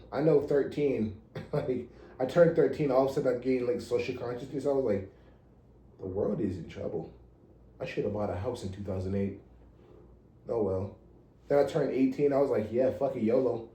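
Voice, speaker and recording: A man's voice: mid-range voice, normal speed, mellow, echoey room, poor audio. An American man in his thirties chatting casually as a podcast co-host, blunt and slangy with some swearing. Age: 30s. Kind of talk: casual chat.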